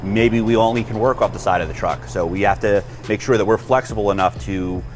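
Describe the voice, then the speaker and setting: A man's voice: fairly low pitch, brisk, soft background music. An American man in the role of rescue chief, giving an informal explanatory tour of equipment in practical, plain-spoken trade jargon.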